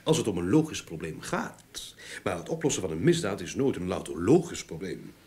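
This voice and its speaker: An actor playing a self-assured, courteous private detective in a radio play, polite and persistent. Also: male voice, low voice, very animated, a little fast, a little mellow, breathy edge, exaggerated voice. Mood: musing, confident. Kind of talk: Dutch-language radio play acting. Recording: noisy old radio drama recording.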